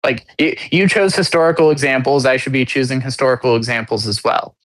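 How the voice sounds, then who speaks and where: Male podcast co-host, hesitant and restart-heavy, blunt humor, mid pitch, dry close sound. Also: fairly even intonation, a bit bright, no echo, low-quality recording. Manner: halting, many restarts and fillers; blunt, self-deprecating humor. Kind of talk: casual conversation.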